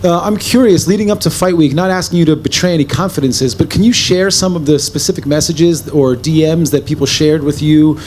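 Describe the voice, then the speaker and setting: A man's voice: fairly high pitch, a little fast, hardly any pauses, darkish tone, slight background noise. A male reporter asking a long, carefully worded question at a press conference.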